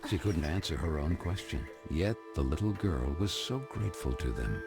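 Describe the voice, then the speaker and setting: An actor playing a theatrical storybook narrator in an animated-style game, telling a fairy tale in ornate, dramatic phrasing. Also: male voice, rumbling low, moderate pace, gravelly, full character voice. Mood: wondering, dramatic. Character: theatrical storybook narrator, deep-voiced man, grave and whimsical. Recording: music behind the voice.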